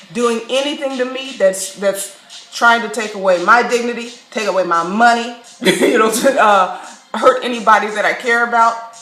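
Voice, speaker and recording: A woman from Chicago vlogging straight to camera, candid and wry, with frequent laughs. Her voice rings in a roomy, echoing space, and faint music sits low behind her. She is mid-pitched for a woman, with lively asides. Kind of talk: casual storytelling monologue. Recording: echoey room, faint background music.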